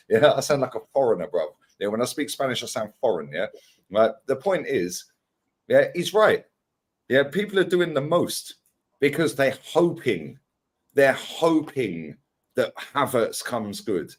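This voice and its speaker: Lively, teasing man, pitch all over. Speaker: football panel host. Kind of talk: casual banter on a fan panel.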